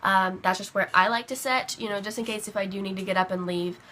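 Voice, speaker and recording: A young woman vlogger chatting casually to camera while sick with a bad cold, her voice rough, rambling with lots of fillers. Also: medium pitch, steady tone, fast talker, sharp tone. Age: young adult.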